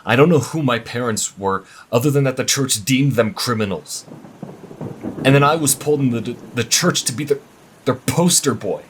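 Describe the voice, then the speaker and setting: A man's voice: medium pitch, animated, moderate pace, natural voice. A man playing a defiant healer pleading with his lover in an intense, emotional fantasy audio drama. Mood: defensive, angry. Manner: intense, emotional, swinging from hushed to shouting. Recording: rain and thunder noise behind.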